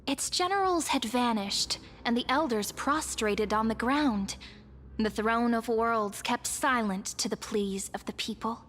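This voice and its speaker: Solemn high-voiced chronicler in reverb. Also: female voice, unhurried, a bit bright, a little hiss or hum. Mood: solemn, epic. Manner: grave, storytelling, formal. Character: solemn female chronicler of ancient war legends. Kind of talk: scripted epic narration. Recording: long hall reverb.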